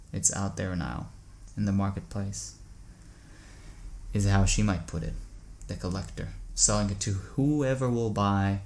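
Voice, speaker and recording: Haunted, gravelly low-voiced man confessing. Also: a little mellow. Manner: halting, brooding, confessional. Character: haunted, troubled man confessing dark murderous thoughts. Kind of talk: audio drama monologue.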